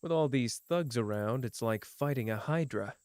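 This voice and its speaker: A man's voice: medium pitch, moderate pace, smooth, no room sound, lightly acted. A man voicing a teasing, world-weary young man. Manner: soft, teasing, drifting between playful and bleak. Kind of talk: ASMR roleplay.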